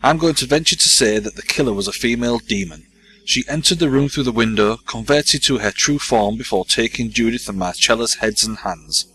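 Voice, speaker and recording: An actor playing a sharp-minded male investigator of demons in an audio drama, lively and explaining. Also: mid-range voice, fairly quick, a little hiss or hum, natural voice, rough recording.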